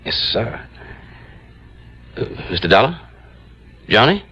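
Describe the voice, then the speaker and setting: Tight-fisted insurance agent, low pitch, folksy, insistent, old-time radio acting, dull vintage sound. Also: male voice, very animated, takes it slowly, bright, forceful tone, breathy edge, exaggerated voice. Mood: pressing, pleading.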